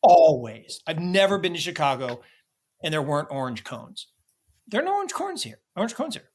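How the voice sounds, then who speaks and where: A man's voice: a little high, very animated, moderate pace, husky. An American man co-hosting a podcast, chatting casually and animatedly about markets and travel, often restarting his sentences. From United States. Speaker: podcast co-host.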